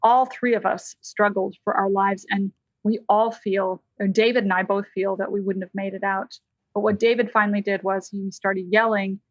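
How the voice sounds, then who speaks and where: An American woman, a licensed counselor, telling a personal story as a podcast guest in a lively, conversational way. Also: medium pitch, moderate pace, no echo, highs cut off. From United States.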